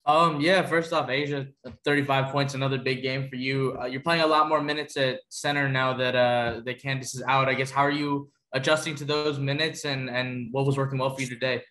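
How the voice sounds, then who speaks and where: A man asks questions as a sports reporter. His mid-range voice stays fairly monotone, and his phrasing is informal and a little hesitant. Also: medium pitch, a little fast. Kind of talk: casual press-conference questioning.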